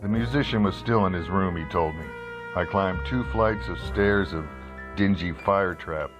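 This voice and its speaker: A man's voice: low voice, normal speed, over music, lightly acted. A man playing a persistent investigative reporter in an old-time radio drama, matter-of-fact and clipped with a sardonic edge. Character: persistent, dry-witted male investigative newspaper reporter. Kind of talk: old-time radio drama, first-person narration and dialogue.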